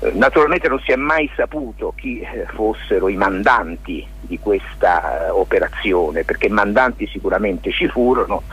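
A mature man speaks as a guest in a radio interview. His voice is deep and extremely husky, rough at the edges. He explains at length in an informal, discursive way, with many hesitations. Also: animated, normal speed, hardly any pauses, a bit bright, lo-fi sound. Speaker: lawyer and theatre maker, interview guest.

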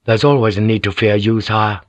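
A man's voice: on the low side, fairly even intonation, brisk, no echo, natural voice. A man voicing a deferential, cautious samurai nephew and heir, humble and formal, in an audiobook, slightly muffled. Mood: wary deference. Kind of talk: audiobook character acting.

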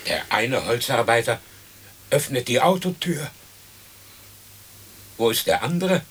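Bright-voiced hanged man's ghost. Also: medium pitch, sing-song, measured pace, a little hiss or hum. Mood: observant. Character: ghost of a hanged man perched on a branch. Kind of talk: radio drama acting.

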